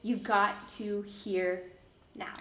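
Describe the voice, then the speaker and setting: A woman's voice: medium pitch, little pitch movement, fairly bright tone. A woman hosting a podcast, introducing her guest in an upbeat, encouraging way. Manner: upbeat, encouraging, drawn-out phrasing. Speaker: podcast host. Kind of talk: casual interview. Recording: echoey room.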